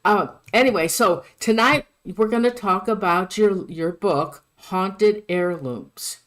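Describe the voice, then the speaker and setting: A woman's voice: mid-range voice, slow. A woman hosting a live paranormal podcast, gushing and enthusiastic, who often stumbles and restarts her sentences. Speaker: podcast host.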